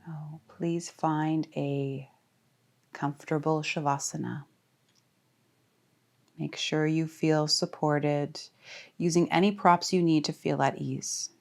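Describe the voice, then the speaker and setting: A woman leading a guided relaxation as a yoga teacher, speaking calmly and soothingly with deliberate pauses. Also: low-pitched, gravelly. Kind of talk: guided meditation.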